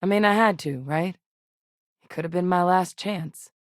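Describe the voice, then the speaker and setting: An actress playing a seasoned, serious military scientist in a sci-fi video game, speaking in a measured, earnest and authoritative way. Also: female voice, fairly low pitch, no echo, studio quality, close to an everyday voice. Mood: pressing, intense. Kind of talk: scripted game character dialogue.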